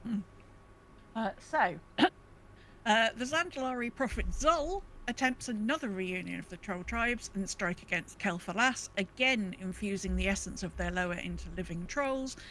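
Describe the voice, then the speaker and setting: A woman co-hosting a podcast, reading a game lore recap casually with wry, deadpan asides. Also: medium pitch, moderate pace, low-quality recording. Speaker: podcast co-host. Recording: faint background noise.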